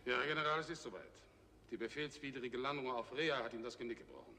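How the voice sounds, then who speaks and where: Brisk, stern general in a reverberant hall. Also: male voice, mid-range voice, sharp tone. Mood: stern, formal. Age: middle-aged. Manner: formal, brisk, authoritative. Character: stern, formal middle-aged male space general. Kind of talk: 1960s television drama acting. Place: large hard-walled office set. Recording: reverberant studio set, faint noise.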